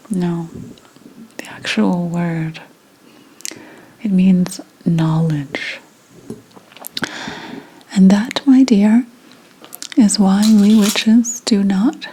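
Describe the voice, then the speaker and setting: A woman playing a secretive, indignant 17th-century witch in a breathy whispered ASMR roleplay, speaking deliberately with dramatic pauses. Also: mid-range voice, warm tone, low-quality recording. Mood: instructive, wary.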